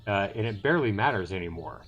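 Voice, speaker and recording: A man's voice: on the low side, lively intonation, fast, fairly bright tone, lo-fi sound. Man reading as arrogant taunting elf captor. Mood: cold challenge. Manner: curt, condescending.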